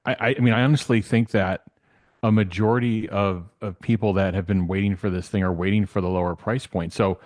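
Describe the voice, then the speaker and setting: Male guest commentator, lowish pitch, dry sound, conversational, halting as he rephrases. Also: fairly even intonation, darkish tone, no echo. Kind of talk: casual off-the-cuff commentary.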